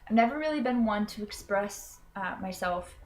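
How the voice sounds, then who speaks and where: A woman's voice: medium pitch, animated, moderate pace, slight background noise. A young woman from Florida, a college student, earnestly explaining something in a hesitant way, with frequent ums and restarts. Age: young adult. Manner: earnest and self-conscious. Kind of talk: personal explanatory video.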